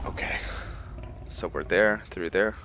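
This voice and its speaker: A man giving casual commentary on a roleplay video game, thinking aloud with halting pauses. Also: deep voice, a bit bright, muffled recording, a little hiss or hum. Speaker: gaming video host.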